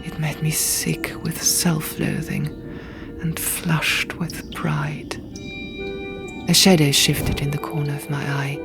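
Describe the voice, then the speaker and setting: A woman reading a fantasy audiobook dramatically in the first person, in hushed, deliberate short phrases, over music and sound effects. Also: low voice, little pitch movement, creaky, in a whisper. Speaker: audiobook narrator.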